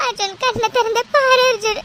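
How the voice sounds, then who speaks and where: A woman's voice: very high-pitched, steady tone, brisk. A woman playing a distraught, devoted young woman in an audio drama, crying and pleading over her injured beloved. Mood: tearful pleading. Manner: tearful, pleading, repetitive begging. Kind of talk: melodramatic Tamil audio drama acting. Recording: noisy recording with clicks.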